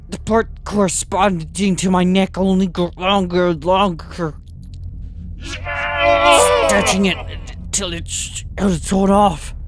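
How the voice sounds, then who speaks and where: A man's voice: high voice, a little hiss or hum. A man voicing a haunted, anguished young man narrating his nightmare in a horror manga dub. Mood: rising horror, anguish. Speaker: voice actor and narrator.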